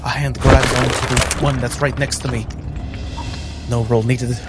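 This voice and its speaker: A man with a medium-pitched voice narrates his character's actions as a tabletop game player. His delivery is slow and descriptive, over clearly audible music. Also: flat delivery.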